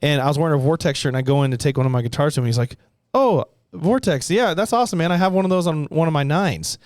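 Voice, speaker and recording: A man's voice: on the high side, fast talker, darkish tone, no room sound, clean studio sound. A man from the Portland area, co-hosting a podcast in casual chat, rambling through stories with many restarts and fillers. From Portland, Oregon area. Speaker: podcast co-host, gun shop staff.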